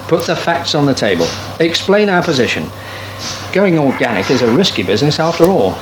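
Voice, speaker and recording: An actor playing a grumbling, sardonic, hard-pressed farmer in a naturalistic radio soap. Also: male voice, a little high, very animated, a little fast. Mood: sardonic, weary. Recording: noisy 1980s radio drama.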